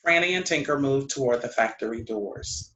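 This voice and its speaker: A man's voice: mid-range voice, animated, normal speed, sharp tone. Echoey man reading stage directions. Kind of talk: scripted narration at play reading. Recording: echoey room.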